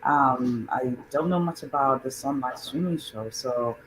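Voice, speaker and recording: A man's voice: mid-range voice, measured pace, a bit bright, slight background noise, rough recording. A middle-aged man from Nigeria introducing himself as a guest, rambling with many fillers and restarts. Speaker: guest on an online show. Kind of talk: casual self-introduction.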